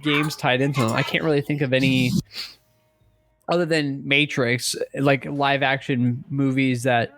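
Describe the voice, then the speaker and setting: A man's voice: medium pitch, flat delivery, unhurried, a bit bright, dry recording. A man chatting informally and enthusiastically as a podcast co-host. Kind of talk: casual podcast chat.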